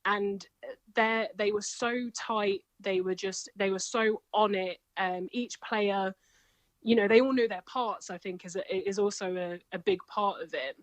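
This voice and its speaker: A woman's voice: mid-range voice, fairly even intonation, no echo. Woman, chatty interview guest, enthusiastic, dry close sound. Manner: enthusiastic, gushing, quick to laugh. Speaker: singer and comedian, guest. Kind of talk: casual interview chat.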